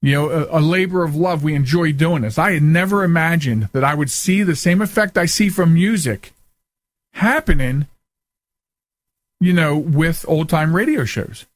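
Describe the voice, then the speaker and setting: Male talk show host, slightly high pitch, dry close sound, casual chatty storytelling, rambling. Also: animated, moderate pace, no echo. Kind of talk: casual interview chat.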